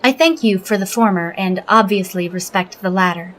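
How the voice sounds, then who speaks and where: A woman playing a logical alien officer in an audio drama, speaking in a measured, formal, unemotional manner. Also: medium pitch, a little hiss or hum, natural voice. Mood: clinical, formal. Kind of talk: audio drama interview and log narration.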